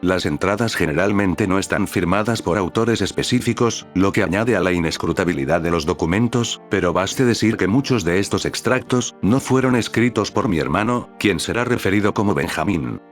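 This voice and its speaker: Deep-voiced man narrating a creepy tale. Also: normal speed, few pauses, soft background music. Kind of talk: scripted narration of a creepy story.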